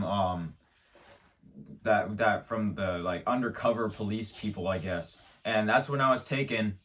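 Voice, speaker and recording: Low-voiced man, stammering mock-serious storyteller. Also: fairly bright tone, recorded from a distance. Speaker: show host. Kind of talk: absurd comedic monologue.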